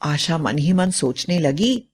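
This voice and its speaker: A woman's voice: deep voice, lively intonation, slow pace, a little mellow, slightly rough. A woman narrator reading a scripted bedtime story for children.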